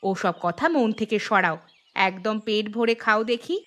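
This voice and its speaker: A woman's voice: medium pitch, a little slow, a bit bright, natural voice. A woman voicing an older, caring but bossy mother-in-law in a village cartoon, coaxing and ordering others to eat. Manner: coaxing, commanding. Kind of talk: cartoon voice acting.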